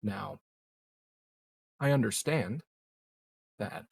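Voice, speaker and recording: A man playing a measured, calculating and discreet corporate executive in a roleplaying game, speaking formally with long deliberate pauses. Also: on the low side, vocal fry, darkish tone, slightly rough, full character voice. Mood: measured, scheming. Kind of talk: improvised in-character roleplay dialogue.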